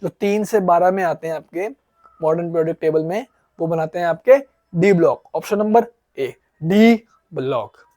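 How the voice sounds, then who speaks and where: A man with a high voice, made hoarse by a sore throat, teaches exam-prep chemistry. He reads each question slowly and then quizzes his listeners, answering his own questions. The sound is dry and close, with no room echo. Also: mellow. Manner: explains in Hindi, reads questions aloud in English, asks and answers his own questions.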